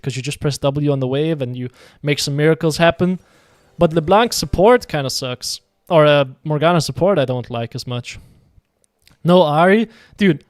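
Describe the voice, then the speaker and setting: A man's voice: a little high, dry recording, studio quality. A man from Denmark chatting casually while streaming a game, rambling and answering his viewers' messages. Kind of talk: casual live game commentary.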